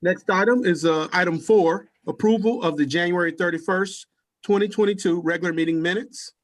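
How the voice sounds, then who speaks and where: Higher-pitched man, formal meeting clerk. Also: a little fast. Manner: formal, procedural. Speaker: meeting clerk calling roll and reading agenda items. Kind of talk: formal procedural announcing at a public meeting. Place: virtual meeting call.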